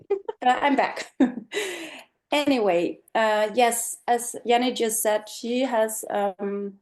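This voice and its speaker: Danish woman, middle-aged, slow and thoughtful speaker, video-call mic. Online talk. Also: on the high side, darkish tone. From Denmark. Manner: slow, thoughtful, many fillers. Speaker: guest speaker.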